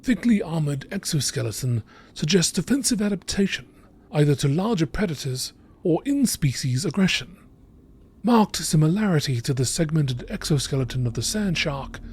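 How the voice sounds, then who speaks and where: A man reading scripted nature documentary narration in a measured, deliberate way. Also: on the high side, a little mellow, husky.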